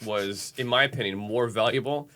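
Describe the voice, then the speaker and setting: A man's voice: on the low side, normal speed. A man co-hosting a podcast in casual, jokey chat. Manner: jokey, lively storytelling. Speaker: podcast co-host and streamer.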